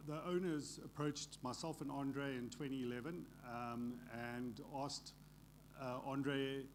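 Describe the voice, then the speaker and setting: A man's voice: medium pitch, a little hiss or hum. A man, an architect, giving loose, unscripted remarks at a conference, with frequent restarts.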